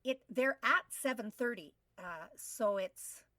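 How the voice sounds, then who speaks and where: A woman's voice: fairly high pitch, lively intonation, slow pace, pushed and bright, no echo. Enthusiastic woman, slightly distant dry mic. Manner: enthusiastic, effusive. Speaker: podcast co-host. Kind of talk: casual conversational interview. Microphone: video call microphone.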